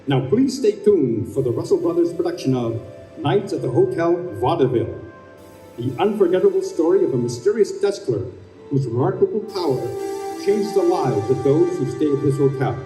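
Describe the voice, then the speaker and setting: A man's voice: fairly high pitch, big pitch swings, moderate pace. A man working as a formal announcer, reading scripted credits in an old-time radio style. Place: convention hall stage. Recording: echoey hall, distant microphone, faint music.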